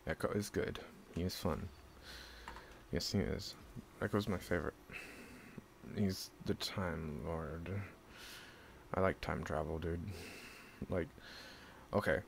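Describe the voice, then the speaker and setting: A man from Southern California with a gravelly voice, rambling defensively in a monologue, swearing and breaking into sudden loud bursts. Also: sits low, fairly even intonation, slow, pauses often, slight background noise.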